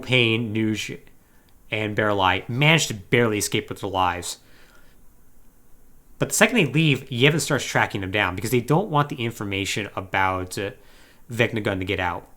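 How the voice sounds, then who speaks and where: A man hosting a podcast, casually retelling a video game plot in a slangy, animated, joking way. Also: fairly low pitch, moderate pace. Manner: animated, slangy storytelling with mocking acted-out quotes. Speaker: podcast host.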